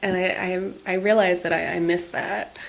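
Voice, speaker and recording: Creaky-voiced woman. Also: fairly low pitch, little pitch movement, normal speed. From New Zealand. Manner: earnest, restarts phrases, laughs easily. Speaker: artist guest. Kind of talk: casual conversation.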